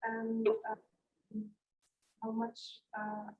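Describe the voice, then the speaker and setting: A woman's voice: fairly high pitch, monotone, drawn-out words, narrow, tinny audio, faint music behind. Tentative woman audience questioner. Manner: tentative, with fillers. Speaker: audience member.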